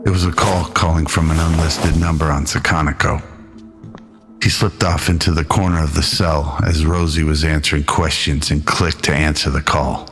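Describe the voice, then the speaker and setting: A man narrates a scripted audio drama in an extremely deep voice. Music plays clearly behind his storytelling. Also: fairly even intonation, normal speed, fairly bright tone.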